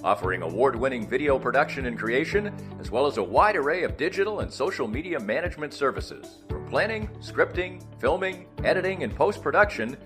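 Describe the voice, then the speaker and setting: Animated higher-pitched male ad announcer over music. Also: a little fast, hardly any pauses. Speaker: advertisement announcer. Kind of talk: scripted promotional narration. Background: music bed.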